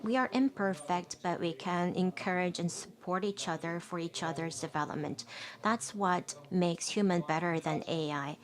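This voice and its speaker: A woman giving a live interpretation of a keynote speech in a steady, measured way, with brief restarts. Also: fairly low pitch.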